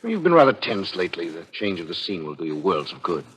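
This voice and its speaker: An actor playing a solicitous business partner in 1940s radio drama style. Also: male voice, low-pitched, very animated, quick pace, fairly bright tone, husky, heavily acted. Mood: casual, solicitous.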